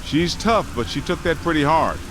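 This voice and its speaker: A man's voice: a little high, lively intonation, moderate pace, slight background noise, close to an everyday voice, low-quality recording. An actor playing an easygoing, wisecracking working-man ghost hunter in a video game, colloquial and wry. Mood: weary, wry.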